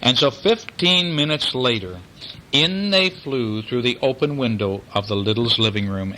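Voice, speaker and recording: Man, bedtime storyteller, bright tone, expressive, explanatory, chatty with a child, faint background noise. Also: lowish voice, low-quality recording. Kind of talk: bedtime story reading aloud, with chatty asides.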